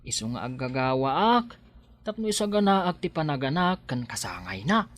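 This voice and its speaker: Man playing bright-voiced, fussing young husband. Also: a little high, sing-song, normal speed. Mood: fond, fussing. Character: devoted, anxious young husband and expectant father. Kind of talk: radio drama acting.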